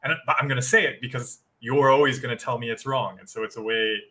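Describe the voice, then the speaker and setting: A man speaking as a guest on a live-stream chat. His voice is bright and forward, and he explains his points in a reflective, encouraging way, at a middling pitch. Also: fairly even intonation, quick pace.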